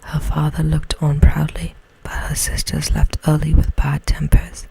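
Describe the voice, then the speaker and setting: A woman's voice: low-pitched, monotone, low-quality recording. Whispering woman narrating a bedtime story. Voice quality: breathy whisper. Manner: gentle, slow, soothing.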